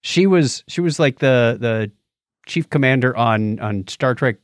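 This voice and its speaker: A man's voice: mid-range voice, animated, measured pace, dry recording, studio quality. A middle-aged man chatting casually and conversationally as a podcast co-host. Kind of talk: casual chat.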